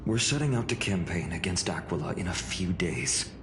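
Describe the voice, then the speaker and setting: A deep, earnest young leader. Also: male voice, moderate pace, background noise. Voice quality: calm, courteous. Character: earnest young male leader, polite and respectful. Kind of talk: game voice acting. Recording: roomy echo, music behind.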